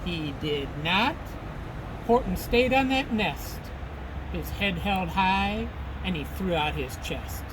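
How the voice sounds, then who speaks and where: A woman reads a children's rhyming story aloud over clear background noise. She takes her time, phrasing each line deliberately and dramatically, in a middle-pitched voice. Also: animated. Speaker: storybook reader. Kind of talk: expressive children's read-aloud of rhyming verse.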